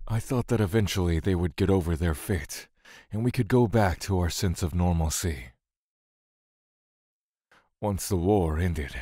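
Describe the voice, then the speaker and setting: A man's voice: low-pitched, mellow, no room sound, studio quality. A man playing a weary, brooding god who solemnly recounts his love and exile in an audio drama, with music underneath. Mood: somber, reflective. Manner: slow, solemn, brooding storytelling.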